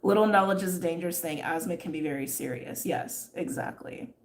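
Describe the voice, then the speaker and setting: Low-voiced wheezy woman chatting candidly. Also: brisk. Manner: chatty, many fillers, frequent laughs, candid. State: wheezy from asthma. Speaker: tarot reader and livestream host. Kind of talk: casual livestream chat, reading viewer comments.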